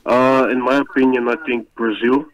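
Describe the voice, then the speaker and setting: A man from the Netherlands giving his sports opinion as a caller to a live show, in measured, halting phrases. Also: medium pitch, little pitch movement, muffled recording. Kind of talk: phone-in opinion.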